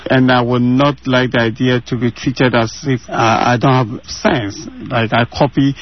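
A man's voice: medium pitch, level tone, a little slow, lo-fi sound. A man in his thirties from Ghana with a Ghanaian accent, answering interview questions earnestly and repeating phrases for emphasis, heard over a remote line from his home. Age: 30s.

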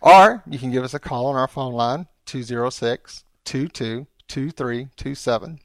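A man's voice: medium pitch, moderate pace, dry recording. A man co-hosting a podcast, teasing and dry, losing his voice. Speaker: podcast co-host. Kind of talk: casual chat.

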